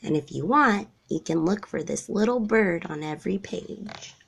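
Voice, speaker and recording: Female storybook narrator for young children, expressive and varied in pitch. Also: normal speed, vocal fry, husky. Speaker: storybook reader. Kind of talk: expressive children's read-aloud.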